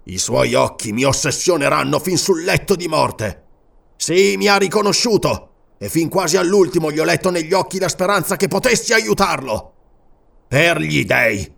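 A man's voice: on the high side, big pitch swings, normal speed, gravelly, fairly bright tone, voice pushed up, full character voice. An Italian man narrating an audiobook and voicing a proud, furious barbarian king in disguise who swears vehement, declamatory oaths. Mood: bitter.

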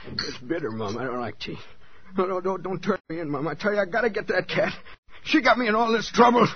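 A man's voice: high-pitched, a little fast, close to an everyday voice. An actor playing a deferential, troubled, superstitious handyman in a 1940s radio thriller, intense and plaintive. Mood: anxious, pleading. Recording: muffled, noisy 1940s radio broadcast.

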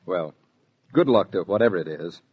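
Lively, husky American male radio announcer. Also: sits low, normal speed. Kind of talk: 1940s radio announcing, folksy scripted sponsor talk. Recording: dull 1940s radio transcription.

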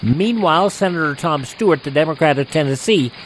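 A man's voice: fairly high pitch, lively intonation, fairly quick, a little hiss or hum, low-quality recording. A man hosting a radio show, reading scripted introductions and news in an announcer's style.

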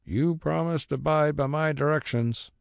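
A man's voice: mid-range voice, lively intonation, mellow, dry recording, studio quality. A man voicing a stubborn old rustic carrier. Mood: coaxing. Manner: broad rural dialect, slow and roundabout.

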